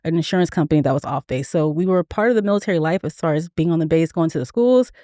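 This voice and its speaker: A woman's voice: low voice, expressive, dark tone, no room sound, studio quality. A woman in her forties, a food influencer guest chatting bubbly and easily in a podcast interview. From Memphis, raised in Atlanta and Germany. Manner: bubbly, quick and chatty with frequent laughs.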